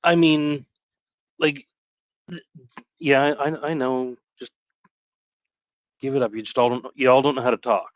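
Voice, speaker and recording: A man chatting casually on a live stream in a halting, rambling way, often correcting himself. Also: medium pitch, steady tone, no room sound, highs cut off.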